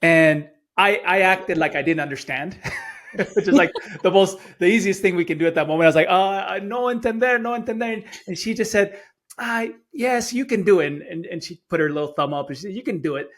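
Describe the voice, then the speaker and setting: A middle-aged man who grew up in Chile and spoke Spanish first tells a personal story as a podcast guest. His voice sits a little high for a man. He talks earnestly and often repeats a word or two before a sentence gets going. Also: brisk, fairly bright tone. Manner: earnest, repeats words when starting phrases. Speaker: guest speaker. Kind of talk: storytelling in an interview.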